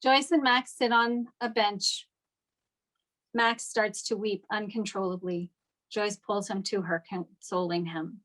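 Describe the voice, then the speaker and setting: Female narrator for a script read, medium pitch, delivering scene directions. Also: fairly even intonation, takes it slowly, fairly bright tone, low-quality recording. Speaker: stage direction reader at a table read.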